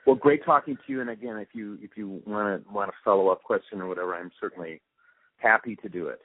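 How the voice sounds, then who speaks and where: Through a narrow, dry phone line comes an older man's low, husky voice. He chats in an easygoing, halting way, like a guest in a casual interview. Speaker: interview guest, audio post-production supervisor. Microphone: phone or call line.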